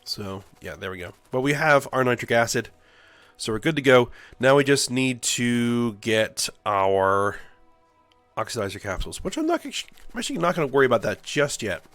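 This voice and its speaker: A man's voice: mid-range voice, very animated, normal speed, a bit bright, dry recording, faint music behind. A man with a stuffy nose from a cold, casually thinking aloud as he plays and builds in a game. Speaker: let's play host. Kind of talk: casual gameplay commentary.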